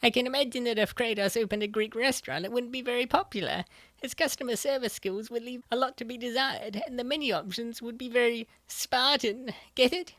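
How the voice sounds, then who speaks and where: A woman's voice: a little high, fairly quick, a bit bright, dry recording, close to an everyday voice. A woman voicing an earnest, nerdy young female gamer who explains her opinions in a comic dub. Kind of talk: comic dub dialogue, gaming banter.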